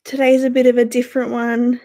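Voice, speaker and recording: A woman's voice: fairly high pitch, completely flat delivery, brisk, mellow. A young Australian woman in her twenties, hosting a casual livestream from her bedroom, chatting with viewers by name and sounding stuffy from a sore throat. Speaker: livestream host. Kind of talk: casual live-stream chat with viewers. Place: small bedroom indoors.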